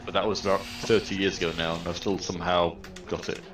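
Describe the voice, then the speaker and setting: A man's voice: low voice, level tone, quick pace, background noise. A middle-aged man with a Manchester accent chatting during a racing game, swearing in a panic and cracking dry jokes. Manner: panicky swearing while driving, dry wit. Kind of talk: casual gaming chat. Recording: echoey, distant mic with music behind.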